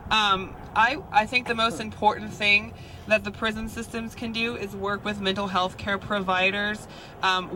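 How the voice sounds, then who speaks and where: A woman with a soft, feminine voice giving an earnest, hesitant speech at an outdoor rally, with frequent ums. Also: mid-range voice. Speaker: rally speaker. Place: outdoors at a rally near roadway. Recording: outdoor rally with traffic noise. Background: passing cars.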